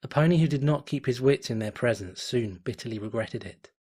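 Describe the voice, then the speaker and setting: A man narrating a fan story at home, reading in a measured, dramatic way. His voice sits at an ordinary, mid-range male pitch. He lets the suspense build steadily from line to line. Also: a little mellow, breathy edge. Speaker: fanfiction reader.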